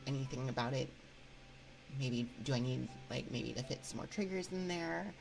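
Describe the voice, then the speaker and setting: A woman's voice: a bass voice, moderate pace, creaky, poor audio. A woman hosting a livestream, chatting casually with viewers in a hesitant, rambling, giggly way into a binaural ASMR microphone. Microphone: binaural 3Dio ASMR microphone. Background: music.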